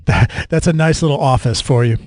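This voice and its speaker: A man's voice: medium pitch, brisk, darkish tone, no room sound, studio quality. An American man hosting a casual interview in a conversational style. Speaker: interviewer, podcast host.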